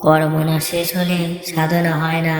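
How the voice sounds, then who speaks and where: A woman's voice: low-pitched, completely flat delivery, normal speed. A woman voicing a stern, imperious demon goddess in a cartoon, drenched in reverb. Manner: imperious, commanding, repeats orders. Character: stern, commanding demon goddess granting bargains. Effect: long reverb. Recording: echoing reverb with music behind.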